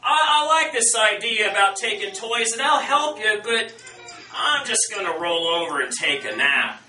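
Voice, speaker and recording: A man's voice: high-pitched, lively intonation, bright, forceful tone, soft background music, voice pushed up, heavily acted. Man putting on a lazy, grumbling polar bear, roomy. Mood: lazy, reluctant. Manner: lackadaisical, drawn-out grumbling. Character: lazy, reluctant male polar bears dodging work. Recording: roomy, far from mic, faint noise.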